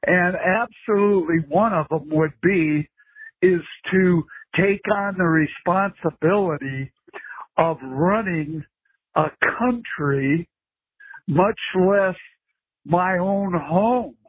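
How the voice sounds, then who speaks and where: A man in his eighties leaving a recorded phone message, speaking in a deliberate, emphatic way. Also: high-pitched, breathy edge, no echo. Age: 80s. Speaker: caller. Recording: tinny phone line.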